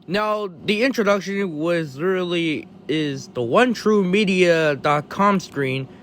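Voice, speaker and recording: Male video host, slightly high voice, casual explainer commentary with choppy, halting delivery. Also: fairly bright tone.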